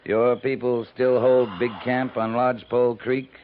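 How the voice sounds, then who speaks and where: An actor playing a blunt, weary US cavalry captain with a curt, sardonic delivery in a 1950s radio western. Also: male voice, mid-range voice, flat delivery, normal speed, slight background noise, natural voice. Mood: calm, bargaining. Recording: muffled narrow-band old 1950s radio recording.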